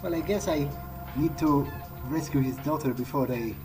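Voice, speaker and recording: A man's voice: fairly high pitch, normal speed. A man roleplaying in character as he plays a video game, musing to himself in a hesitant, conversational way. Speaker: let's player. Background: game soundtrack music.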